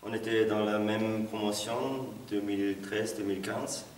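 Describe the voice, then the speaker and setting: A man from Germany speaks French, hesitant and simply phrased. His voice is lowish and flat. He is recorded from afar, in an echoey room with faint hiss. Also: unhurried. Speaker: circus juggler. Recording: echoey hall, distant mic.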